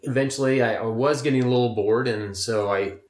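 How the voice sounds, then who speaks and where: A man's voice: mid-range voice, fairly quick, darkish tone. An American man in his late thirties, chatting casually about himself on a podcast. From Ohio, United States. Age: late 30s. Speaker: podcast co-host and climber. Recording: roomy, echoing podcast recording.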